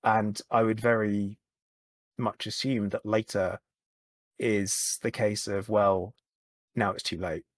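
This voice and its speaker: Brief: low male guest voice, candid and halting, close dry mic. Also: flat delivery, no room sound. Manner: halting, repeats words and restarts often. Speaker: podcast guest. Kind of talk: candid conversational chat.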